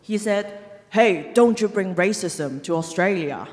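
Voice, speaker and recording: A young woman from Hong Kong doing a stand-up set on stage, delivering deadpan punchlines and laughing at her own jokes. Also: medium pitch, measured pace, very noisy. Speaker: stand-up comedian. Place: comedy club stage. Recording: live venue with long reverb. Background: audience laughter.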